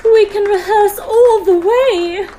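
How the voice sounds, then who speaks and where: A woman acts out an eager young opera singer in a Victorian comedy audio play. Her voice is extremely high, gushing yet measured in pace, with slight background noise. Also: dark tone. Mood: excited, teasing. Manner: gushing, playful. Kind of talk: period comedy audio drama acting.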